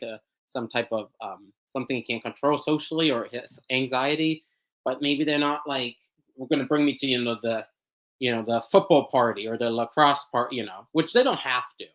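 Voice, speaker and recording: A young man gives a candid interview answer, wandering through his points with fillers and restarts. He laughs often mid-sentence and pokes fun at himself. His pitch sits in a middle range for a man. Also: a bit bright, low-quality recording. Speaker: podcast guest. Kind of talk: candid personal interview talk.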